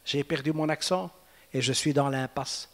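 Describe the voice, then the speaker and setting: A man's voice: medium pitch, moderate pace, long reverb tail. A man from Brussels with a Brussels accent, a guest author and folklorist who chats playfully and recites his own verse. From Brussels, Belgium. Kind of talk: casual interview and poetry recital.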